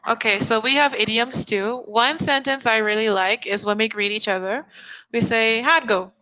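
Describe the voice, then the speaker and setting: A woman's voice: a little high, moderate pace, sharp tone, poor audio. A woman from the Bahamas working as an online English tutor, chatting casually and laughing often on a video call.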